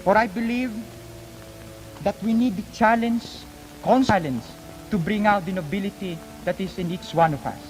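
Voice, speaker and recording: A young man, an exchange student, delivering an earnest, formal prepared speech in measured rhetorical phrases. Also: high-pitched, soft background music. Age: young adult. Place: large reverberant hall. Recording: 1950s archive, echoing hall, background noise.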